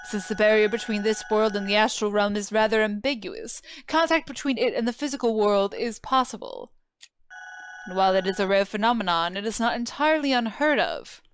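A woman's voice: mid-range voice, animated, fairly quick, clear, resonant tone, no room sound, close to an everyday voice. A woman playing a proud, obsessive dead homunculus researcher in a video game, with a formal, theatrical, lecturing manner. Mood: cool, lecturing. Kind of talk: scripted video game voice acting. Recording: music behind the voice.

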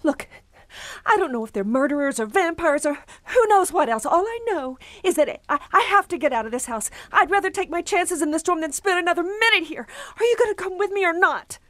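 A woman's voice: very high-pitched, animated, brisk, fairly bright tone, no echo. A woman playing an anxious, suspicious young honeymooning wife in an audio drama. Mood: frightened, insistent. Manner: hesitant, anxious, insistent.

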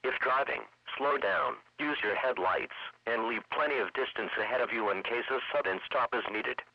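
A man's synthetic voice reading a scripted weather forecast in a measured, impersonal way. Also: medium pitch, dry recording. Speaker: weather radio announcer. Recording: dull lo-fi weather radio broadcast.

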